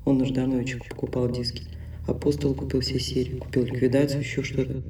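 An actor playing a plain city man in an audio play, idly narrating in a matter-of-fact monotone. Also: medium pitch, fast talker, a little hiss or hum, lightly acted. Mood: idle.

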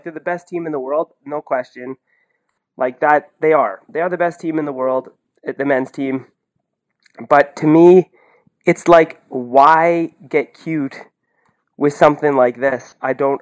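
A man talking casually and bluntly about sports as a podcast commentator, incredulous and full of rhetorical questions and the word "like". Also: fairly high pitch. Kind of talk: casual opinionated sports talk.

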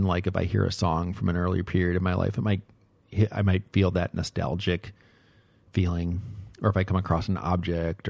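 A man's voice: low-pitched, gravelly, mellow, no room sound. A middle-aged man recording a casual, rambling audio diary indoors on a close microphone, musing hesitantly and repeating himself in short phrases. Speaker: host of a personal audio log. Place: indoors at home.

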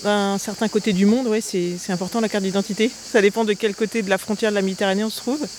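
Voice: medium pitch, steady tone, fast talker, slight background noise. Passant hésitant, rue bruyante. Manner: hesitant, thinking aloud. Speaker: passer-by in street interview. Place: outdoors on a festival street.

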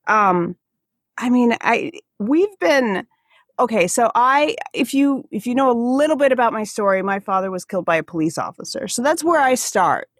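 A woman with an American accent, faintly Alaskan, speaking as an interview guest in a casual, halting way, searching for words. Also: fairly high pitch, animated, no room sound, clean studio sound. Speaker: podcast guest, comedian and activist.

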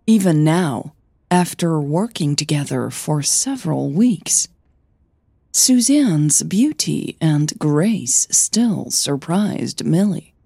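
A woman narrating scripted audio fiction in a gentle, deliberate storytelling manner. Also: low voice, animated, vocal fry, husky, dry recording, clean studio sound. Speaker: narrator and podcast creator.

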